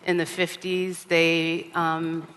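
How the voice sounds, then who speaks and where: A woman artist giving a measured conference talk from a lectern, pausing often with ums. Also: lowish voice, monotone, fairly bright tone, clean tone, big-room sound, rough recording. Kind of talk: lecture with slides. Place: large theater stage. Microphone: reading at a lectern.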